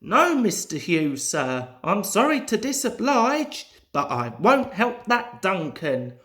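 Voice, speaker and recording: A woman's voice: medium pitch, very animated, slow, fairly bright tone. A woman voicing a friendly, hard-working male diesel engine in a storybook, earnest and fretful, in an echoey room. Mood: cross. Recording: roomy, echoing home recording.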